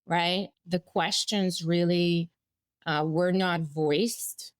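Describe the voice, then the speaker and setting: A woman's voice: on the low side, steady tone, very slow, no echo, clean studio sound. A woman in her forties, born in Bulgaria and raised in the United States, telling her life story as a guest in a candid, reflective way. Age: 40s. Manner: reflective, candid, with small laughs and restarts. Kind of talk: personal storytelling interview.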